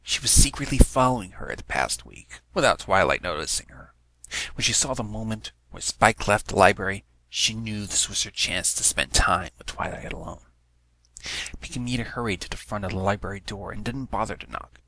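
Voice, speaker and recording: A man's voice: fairly low pitch, lively intonation, moderate pace, sharp tone, slight background noise, low-quality recording. A man reading fan fiction aloud as a scripted story narration.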